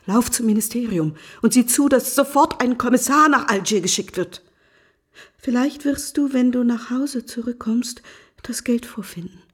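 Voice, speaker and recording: An actress playing a devoted, self-sacrificing wife in a radio drama, pleading in a hushed, breathy voice. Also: female voice, fairly high pitch, moderate pace. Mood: pleading, anguished.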